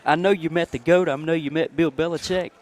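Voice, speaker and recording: A man's voice: on the high side, fairly even intonation, a little fast. A man talks as a sports radio sidekick. His delivery is folksy, effusive and emphatic, in casual live post-game chat.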